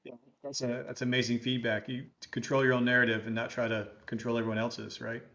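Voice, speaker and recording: A man hosting a webinar interview over a video call, encouraging his guest and quick to laugh. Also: medium pitch, flat delivery, vocal fry, a bit bright, muffled recording. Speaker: host.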